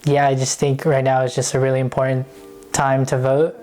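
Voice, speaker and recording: A man's voice: medium pitch, monotone, a little fast, darkish tone, faint music behind. A young man from San Jose, California, speaking in a laid-back, hesitant way with many fillers. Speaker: first-time voter interviewee.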